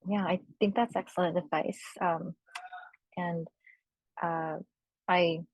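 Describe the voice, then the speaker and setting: A young woman from Korea, a panelist sharing personal reflections with many fillers and soft laughs. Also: on the low side, steady tone, slow, breathy edge, no room sound, highs cut off.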